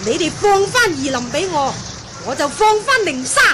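High-voiced, fierce martial-arts nun. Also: female voice, sing-song, normal speed. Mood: angry. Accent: Hong Kong Cantonese. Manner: haughty, curt, scolding. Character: irascible, imperious martial-arts nun, senior master. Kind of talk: 1980 radio drama acting. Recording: noisy old radio drama recording.

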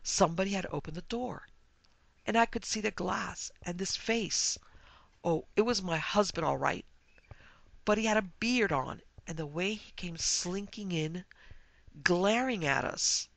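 A man's voice: high voice, unhurried, vocal fry, a bit bright, no room sound, voice pushed up, full character voice. A man voicing a sobbing, agitated, indignant married woman at a party in a dramatic story reading. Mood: shaken outrage. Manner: weeping, breathless, agitated.

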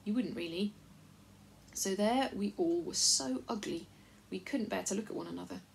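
A woman's voice: mid-range voice, moderate pace, creaky, slight background noise. A woman voicing a self-important invisible male chief of servants in a storybook, folksy, repetitive and rambling. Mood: rambling, confiding. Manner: folksy, repetitive, long-winded.

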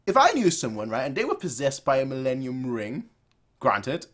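A man's voice: mid-range voice, wildly swooping pitch, moderate pace, a bit bright. A young man giving casual, off-the-cuff reaction commentary as a host, repeating phrases for emphasis. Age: young adult. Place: small room indoors.

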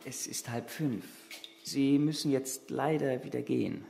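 An actor playing a polite, helpful and cautious police officer in a radio play, speaking in a measured way. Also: male voice, medium pitch, creaky, noisy background. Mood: courteous.

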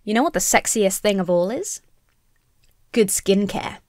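A young woman with a slightly croaky voice from a cold, giving sarcastic, animated commentary from a small home room into a desk mic. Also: mid-range voice, a little slow, noisy background. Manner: sarcastic, animated, laughing asides. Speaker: commentary YouTuber. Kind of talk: casual commentary with sponsor read. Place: small home room, seated in gaming chair. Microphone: studio mic on stand near face.

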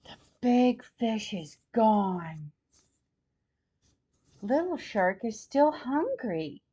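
Very slow-reading older woman, higher voice. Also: husky, muffled recording. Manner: deliberate, expressive reading for young children. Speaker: grandmother host reading picture books to children. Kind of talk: children's storybook read-aloud. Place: small plain room at home, seated in armchair.

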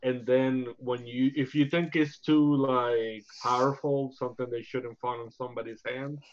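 A man playing a tabletop game over a call, talking casually and thinking out loud with hesitations. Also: mid-range voice, level tone, normal speed. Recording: muffled online call audio.

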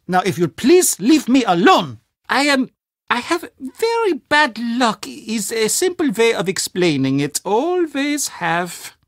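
An actor playing an anxious, gloomy older castle official in a video game, with a German accent and a fretful, melodramatic, formal way of talking. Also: male voice, extremely high pitch, dry recording, clean studio sound, exaggerated voice. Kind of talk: video game character acting.

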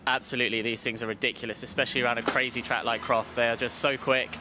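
A man's voice: mid-range voice, level tone, fast talker, background noise. A man in his thirties, a racing driver, chatting casually into a handheld microphone in a paddock tent. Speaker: amateur racing driver being interviewed. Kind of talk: casual interview chat. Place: inside a paddock awning tent. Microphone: handheld interview microphone.

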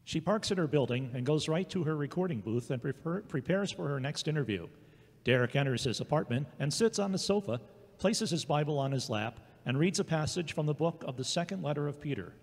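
A man's voice: a little high, lively intonation, fairly quick, vocal fry, darkish tone. A man giving scripted narration for an audio drama. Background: guitar music.

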